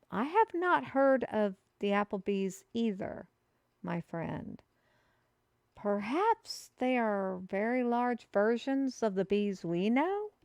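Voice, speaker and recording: A woman playing an excitable fantasy messenger who proclaims a prophecy to the listener in grandiose, formal, old-fashioned phrasing, as a scripted audio roleplay. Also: on the high side, clean tone, dry recording, clean studio sound. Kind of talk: theatrical scripted roleplay monologue addressed to the listener.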